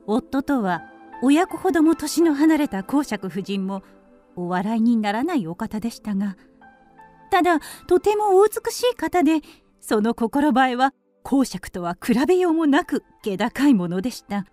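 High, lilting, ladylike canary. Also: big pitch swings, moderate pace, mellow, faint music behind. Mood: earnest recounting. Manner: polite, genteel, storytelling. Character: refined, ladylike female canary telling her life. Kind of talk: Japanese radio drama narration.

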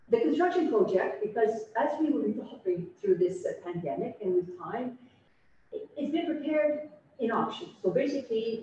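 Council chair, female, deliberate and semi-formal; dull distant room recording with faint noise. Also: mid-range voice, dark tone, very echoey. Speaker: council chair addressing a municipal meeting. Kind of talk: semi-formal meeting remarks. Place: large indoor council meeting room. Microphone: distant conference camera microphone on video call.

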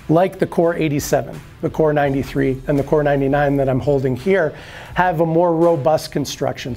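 A man's voice: on the high side, darkish tone. A man in his forties giving an upbeat scripted product walkthrough in a showroom, explaining and gesturing as he talks, on a lapel mic. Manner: upbeat, explanatory, gestures at skis while talking. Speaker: brand product presenter. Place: ski shop showroom, indoors.

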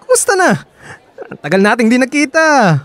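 A forward young Filipino ex-boyfriend in a radio drama. His pitch swings widely, and the sound is close and dry. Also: very animated, moderate pace, fairly bright tone, no echo, exaggerated voice. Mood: surprised, friendly. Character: eager, familiar ex-boyfriend, young man.

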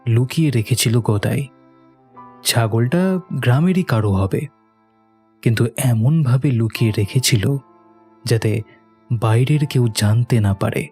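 A man's voice: mid-range voice, darkish tone. A man narrating a scripted horror story for a cartoon in a storytelling style. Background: background music.

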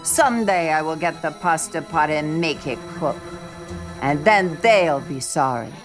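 Storybook role: woman as simple, careless young village lad, eager and naive, slow delivery, music behind. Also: low-pitched, expressive. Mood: scheming.

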